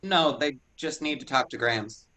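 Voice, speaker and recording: A man's voice: mid-range voice, moderate pace, sharp tone, close to an everyday voice. A man playing a timid, curious farm boy in a staged reading. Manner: earnest, timid.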